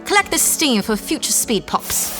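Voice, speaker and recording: Woman doing a plummy unflappable space lady, high and bright, echoey, some music. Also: big pitch swings, moderate pace. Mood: breezy. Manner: plummy, breezy. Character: posh cheerful spacefaring wife, brisk and unflappable. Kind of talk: audio drama acting.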